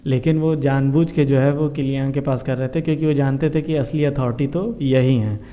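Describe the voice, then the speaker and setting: A man's voice: mid-range voice, steady tone, a little fast, mellow. An Indian man hosting an informal news explainer, talking to viewers in a repetitive, explanatory way and mixing in English terms. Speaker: host of a current affairs explainer show. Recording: dull, muffled lo-fi sound.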